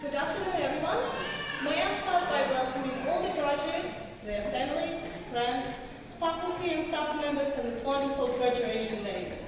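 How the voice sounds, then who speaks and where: A woman's voice: high voice, normal speed. A young woman with a non-native English accent gives a formal, carefully delivered prepared speech as a student representative at a ceremony. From outside Australia. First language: not English. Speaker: student speaker at a graduation. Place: large graduation hall. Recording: echoey hall, distant mic, noisy.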